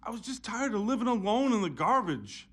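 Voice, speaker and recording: An actor playing a big, lonely, soft-hearted video game bad guy in an animated film, halting and earnest. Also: high voice. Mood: weary, frustrated.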